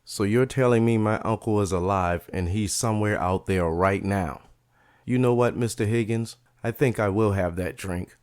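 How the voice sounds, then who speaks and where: A man's voice: on the low side, moderate pace, a little mellow, dry recording, clean studio sound, close to an everyday voice. A man voicing a determined young adult man who speaks in a direct, questioning way. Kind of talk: audio drama storytelling.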